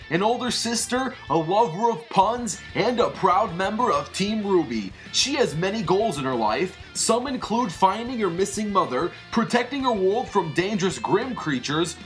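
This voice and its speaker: Energetic youtube host narrating from a script, mid pitch, music behind it. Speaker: host and narrator of an animated fight series. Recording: music under the voice.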